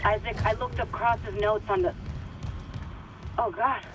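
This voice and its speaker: A woman acts out a tense crew member in a dramatic video game. She is cynical and shaken, confiding in an edgy way. Her voice is set back from the mic under loud noise. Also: mid-range voice, fairly even intonation, a little slow, lo-fi sound, close to an everyday voice. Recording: distant voice in heavy noise.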